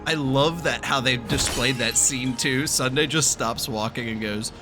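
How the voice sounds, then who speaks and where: A man's voice: mid-range voice, a little slow, music in the background. A man giving casual, reactive, joking commentary as he plays a video game. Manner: reactive, jokey. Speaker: gaming YouTuber. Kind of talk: casual let's-play commentary.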